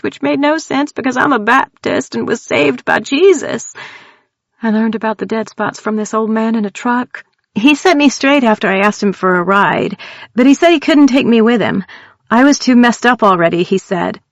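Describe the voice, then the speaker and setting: A woman voicing a frightened, tearful young woman with an East Texas accent, talking in a high-strung, rapid way. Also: no echo, lightly acted. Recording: dull dry audio.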